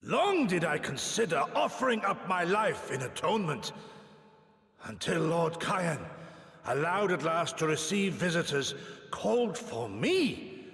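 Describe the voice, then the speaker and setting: An actor playing an aging, proud samurai in a game cutscene, solemn and humble, speaking in formal, archaic, halting oratory with a gravelly voice. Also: male voice, a little high, very animated, fairly bright tone, full character voice. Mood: remorseful. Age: older. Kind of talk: dramatic game cutscene acting. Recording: echoey hall with long reverb.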